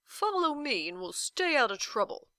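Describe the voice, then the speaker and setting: Woman voicing a bright, self-important storybook bug. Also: sits high, big pitch swings, normal speed, dry recording, studio quality, pitched above the performer's own voice, heavily acted. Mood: confident. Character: self-important humbug bug, confident leader. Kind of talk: children's storybook read-aloud.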